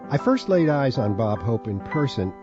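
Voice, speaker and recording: A man's voice: medium pitch, sing-song, normal speed. An American man from San Francisco reading his own memoir as scripted audiobook narration. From San Francisco, USA. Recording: dull lo-fi sound with music. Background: music.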